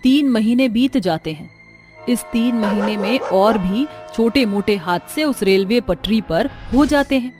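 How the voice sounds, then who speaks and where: Higher-pitched woman narrating a story over music. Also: unhurried. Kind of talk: scripted dramatic narration for a cartoon horror story.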